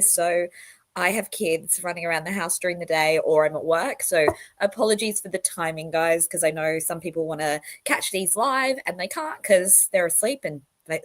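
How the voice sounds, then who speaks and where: A woman in her thirties with a croaky, sick voice, chatting casually with her livestream viewers and greeting them by name. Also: lowish voice. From Australia. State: croaky voice from illness. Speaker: livestream host. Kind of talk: casual livestream talk to chat.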